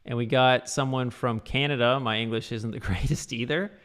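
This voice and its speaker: Casual male guitar teacher, rambly livestream delivery, bright tone. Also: medium pitch, fairly even intonation, normal speed, clean tone. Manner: loose, rambling, full of fillers.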